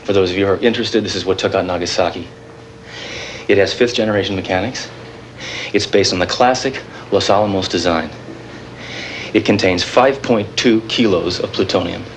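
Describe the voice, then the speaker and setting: An actor playing a nervous but defiant technical expert turned terrorist in a TV drama, explaining in a halting, rambling way. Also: male voice, sits low. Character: nervous but defiant male bomb-builder terrorist with technical know-how. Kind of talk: naturalistic TV drama acting. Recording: noisy 1980s TV live-feed audio.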